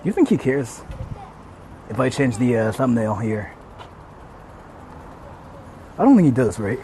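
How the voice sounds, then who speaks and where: A man's voice: medium pitch, very animated, unhurried, mellow, slight background noise, low-quality recording. A man chatting casually on a livestream, hesitant and repeating himself as he talks. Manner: hesitant, repetitive, mixes English and Japanese. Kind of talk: casual livestream chat with viewers.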